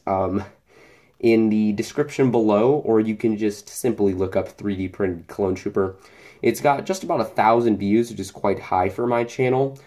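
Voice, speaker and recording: A man's voice: lowish voice, warm tone. A young man casually narrating a hobby video, walking through and comparing items on a tabletop. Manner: explanatory, comparing objects in front of him. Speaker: hobbyist YouTuber. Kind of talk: casual show-and-tell narration.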